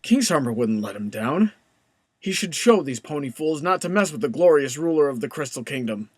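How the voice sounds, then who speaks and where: A man's voice: a little high, lively intonation, normal speed, dry recording, clean studio sound. A man narrating a scripted comedic story as the narrator between characters' lines.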